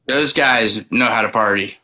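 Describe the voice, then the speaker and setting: A man's voice: lowish voice, measured pace, sharp tone. A man giving casual answers as a country singer guest in an interview.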